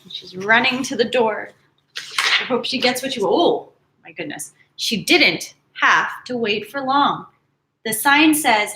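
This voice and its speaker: A woman teacher reading picture books aloud to children live, animated and dramatic, with casual chatty asides. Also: mid-range voice. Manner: animated, dramatic storytelling mixed with casual commentary.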